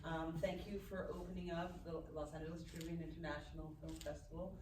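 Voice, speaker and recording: Female event host, slightly low voice, distant mic, clearly noisy room. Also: little pitch movement, fairly quick, heavy reverb. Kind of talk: event hosting.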